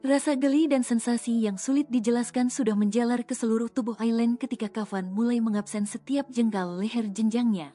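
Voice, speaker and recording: Female narrator, high-ish voice, mellow dark tone, soft background music. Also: steady tone, fairly quick. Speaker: audio novel narrator. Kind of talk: scripted story narration.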